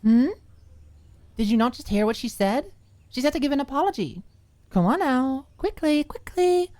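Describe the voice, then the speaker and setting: A woman's voice: high-pitched, lively intonation, moderate pace, warm tone, pitched above the performer's own voice. A woman voicing a proud, condescending college woman with a biting, taunting way of talking in an audiobook.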